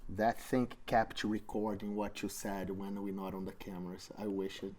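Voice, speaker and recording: A man's voice: lowish voice, moderate pace. Playful Brazilian-accented man. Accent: Brazilian Portuguese. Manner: playful, animated storytelling, broken English. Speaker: jiu-jitsu instructor, co-host. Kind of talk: casual chat.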